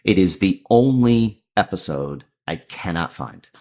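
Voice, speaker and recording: A man with a deep voice, a comedian and lawyer telling a self-deprecating story as a podcast guest. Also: expressive, measured pace, warm tone. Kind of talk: casual storytelling.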